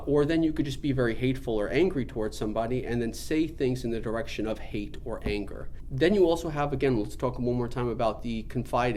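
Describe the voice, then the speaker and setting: Earnest man teaching over clear background noise. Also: mid-range voice, a little mellow. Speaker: spiritual teacher. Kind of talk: informal spiritual teaching talk.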